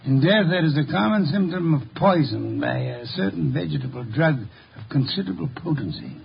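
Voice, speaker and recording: An actor playing a brilliant, imperious detective in 1950s radio drama style, ponderous, precise and dryly sardonic. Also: male voice, on the high side, sing-song, fairly quick, creaky, heavily acted. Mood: assured, accusing. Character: brilliant, eccentric, unflappable older male detective, imperious. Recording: dull, noisy 1950 radio recording.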